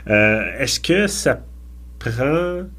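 A man's voice: mid-range voice, lively intonation, drawn-out words, pushed and bright. A man, hosting a podcast and asking long, hesitant questions with many restarts. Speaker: podcast host. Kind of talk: casual podcast interview.

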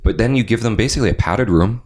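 A young man with a deep bass-baritone voice, telling stories animatedly as a guest in a casual podcast interview. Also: fast. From Canada. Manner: animated storyteller, acts out quotes. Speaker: voice actor guest.

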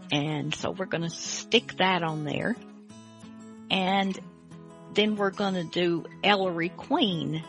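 Woman host, chatty, unhurried, slightly low pitch, faint music underneath. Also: fairly bright tone. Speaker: host of an old-time radio show. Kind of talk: casual chatty hosting.

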